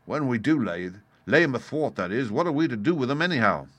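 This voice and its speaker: A man's voice: mid-range voice, lively intonation, fairly quick, no echo, voice pushed up, close to an everyday voice. A man voicing a blunt, cynical young pirate sailor in a storybook, complaining in seafaring talk. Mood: questioning. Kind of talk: dramatised storybook reading.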